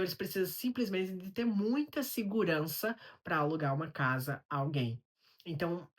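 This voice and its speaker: A woman with a Brazilian Portuguese accent, telling a personal story to camera in a vlog and explaining things step by step, often asking a question and then answering it herself. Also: sits low. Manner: explanatory, poses rhetorical questions and answers them. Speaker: vlogger.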